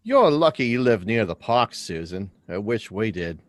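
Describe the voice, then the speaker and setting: A man's voice: lowish voice, big pitch swings, moderate pace, dry recording. A man playing a curious, persistent but courteous schoolboy in a live radio drama reading, earnest and questioning.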